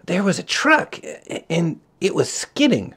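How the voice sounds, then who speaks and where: A bewildered middle-aged man in a storybook, as a male reader puts him on. He is breathy and slow, raised in pitch, hesitant and anxious as he questions. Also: huge pitch swings, a bit bright, heavily acted. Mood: dazed, confused. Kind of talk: storybook character voice.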